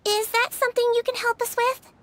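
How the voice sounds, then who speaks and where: A woman voicing a small, gentle head nurse in a video game, polite and composed. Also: very high voice, little pitch movement, a little fast, no echo. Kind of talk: video game character acting.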